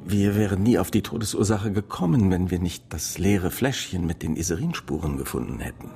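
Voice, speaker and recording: A man's voice: sits low, animated, fairly quick, vocal fry, mellow. A man playing a matter-of-fact police inspector in a radio play, dry and factual in his delivery.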